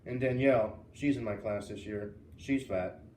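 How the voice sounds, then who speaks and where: A man's voice: fairly low pitch, normal speed, warm tone, pitched below the performer's own voice, natural voice. A man voicing a grumpy, sullen schoolboy from a storybook, blunt and dismissive. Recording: roomy, distant mic, faint noise.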